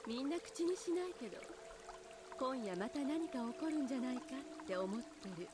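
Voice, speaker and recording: A woman's voice: high voice, animated, racing, over music. An actress voicing a composed, knowing and teasing adult woman in a mystery game.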